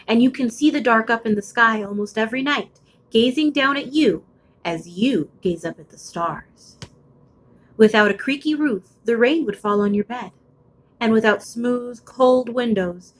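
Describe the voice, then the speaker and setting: A woman reading a children's picture book aloud expressively, with deliberate, suspenseful phrasing. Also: fairly high pitch, poor audio. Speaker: storybook reader.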